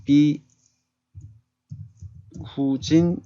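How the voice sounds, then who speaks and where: A man's voice: fairly high pitch, fairly even intonation, very slow, dark tone, no echo. A man giving a step-by-step tutorial as an instructor, thinking aloud conversationally and often checking in with short tag questions. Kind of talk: screen tutorial, explaining step by step. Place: indoors, screen-capture voice-over. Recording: dull lo-fi close voice-over.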